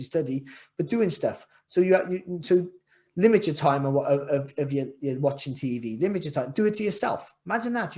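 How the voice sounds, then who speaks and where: A middle-aged man talks over a video call from his home. His voice sits a little high for a man. He speaks earnestly, doubles back on his words and leans hard on key lines. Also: a little mellow. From Wales. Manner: earnest, repeats phrases and restarts, rising to emphatic. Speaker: podcast guest, former boxer. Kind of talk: casual interview chat. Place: home room, indoors.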